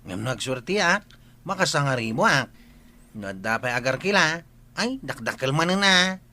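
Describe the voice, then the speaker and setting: A man's voice: a little high, sing-song, moderate pace, bright, forceful tone, heavily acted. A man with an Ilocano accent acting a cheeky, needling young villager in a comedy radio drama, teasing as he talks.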